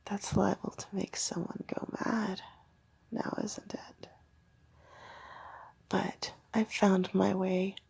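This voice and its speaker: A woman voicing a creepy, teasing fox girl creature held in containment, whispering slowly in an ASMR horror roleplay. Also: mid-range voice, level tone, vocal fry, mellow, breathy edge, heavily acted. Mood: sinister teasing. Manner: slow whispering, sinister teasing.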